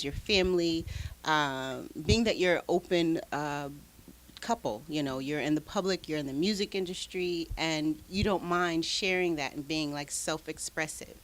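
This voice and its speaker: A woman hosting an interview, asking meandering questions full of fillers. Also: low voice. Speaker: host. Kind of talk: interview conversation.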